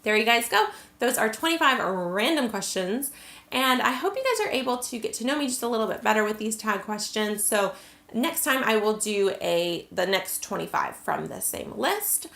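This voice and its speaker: Chatty American woman with a gravelly voice. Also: a little high, sing-song, normal speed, a bit bright, roomy sound. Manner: chatty, many "like" and "um" fillers. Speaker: vlogger. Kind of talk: casual Q&A to camera.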